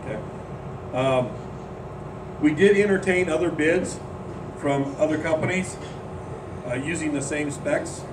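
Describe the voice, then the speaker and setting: A man leading a club meeting, speaking haltingly with frequent "uh"s. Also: a little high. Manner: halting, lots of "uh" fillers. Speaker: club board member chairing meeting. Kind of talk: meeting announcement. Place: meeting room at a golf club. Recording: roomy, distant mic, background hum.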